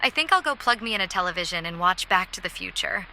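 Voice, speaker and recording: Woman acting a very bright-voiced stranded survivor. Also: lowish voice, animated, fast talker, a little hiss or hum, full character voice, rough recording. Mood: wry, hopeful. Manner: wry, reflective. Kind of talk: analog horror audio diary acting.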